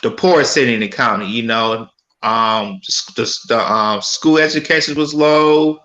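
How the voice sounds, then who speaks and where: A man from Michigan on a podcast panel, telling a story about his hometown in a loose, rambling way. He stumbles and restarts often, and his voice is bright and forceful at an everyday pitch. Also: normal speed, roomy sound. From Pontiac, Michigan. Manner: rambling, stammers and restarts mid-sentence.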